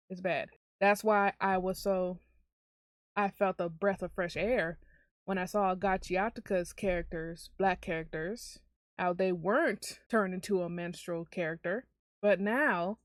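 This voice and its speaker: A woman's voice: medium pitch, no echo, studio quality. Blunt, emphatic woman doing video commentary. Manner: emphatic, blunt, with deliberate stresses. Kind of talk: opinionated commentary to viewers.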